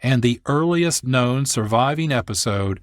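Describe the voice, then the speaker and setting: A man's voice: medium pitch, animated, a little slow, no echo, clean studio sound. A man hosting a radio program, giving a scripted introduction. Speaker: program host.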